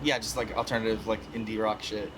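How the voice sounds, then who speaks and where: A man's voice: fairly low pitch, level tone, quick pace, noisy background. A man in his thirties, a band member chatting casually, joking and swearing. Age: 30s. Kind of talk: casual chat.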